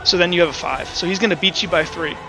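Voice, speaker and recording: A man acting as game master, narrating and explaining rules in a halting, self-correcting way. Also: high voice, over music, rough recording. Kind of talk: improvised tabletop game narration and rules talk.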